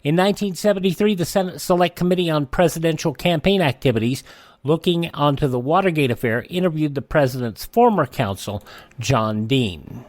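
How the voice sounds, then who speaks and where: Brief: male radio host, fairly high voice, reads history notes dry and close-miked, corrects himself offhand. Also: animated, a little fast, no echo. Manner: self-correcting, offhand asides. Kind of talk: this-day-in-history rundown.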